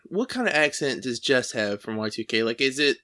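A man's voice: medium pitch, expressive, a little fast, fairly bright tone. A man hosting a podcast interview, rambling and hesitant, with lots of fillers. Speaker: podcast host. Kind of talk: casual interview chat.

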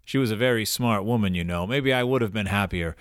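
An actor playing an elderly widowed grandfather in an audio drama, courteous and old-fashioned, wistful with a gruff, wry edge. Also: lowish voice, fairly quick, no echo, studio quality, natural voice. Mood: wistful, reflective. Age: older.

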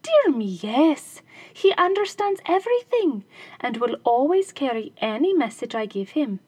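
A woman voicing a shoemaker's wife in a fairy tale, speaking high and excitedly. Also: a little slow. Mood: fluttery. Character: shoemaker's wife, eager and fluttery.

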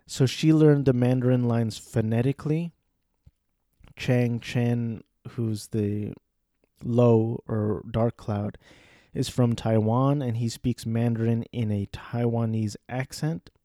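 A man's voice: medium pitch, fairly even intonation, slow, warm tone, no echo, clean studio sound. A man talking casually on his own, hesitant, with many fillers and restarts. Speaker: solo commentator. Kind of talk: casual rambling chat.

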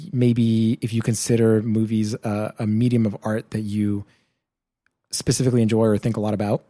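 A man hosting a podcast, thoughtful and unhurried as he talks his ideas through. His voice is mellow and dark, and the close mic sounds dry, with no room around it. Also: mid-range voice, no echo. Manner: thoughtful, works through questions aloud. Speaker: podcast host. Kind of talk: casual chat.

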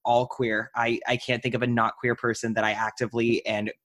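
A man's voice: medium pitch, little pitch movement, brisk, a bit bright. A man hosting a podcast, talking casually and conversationally with a guest. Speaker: podcast host. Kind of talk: casual interview chat.

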